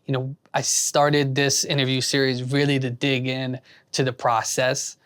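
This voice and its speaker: A man's voice: mid-range voice, flat delivery, unhurried, a bit bright, clear, resonant tone. A man hosting an interview, asking rambling, earnest questions full of restarts. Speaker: interview host. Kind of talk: casual interview.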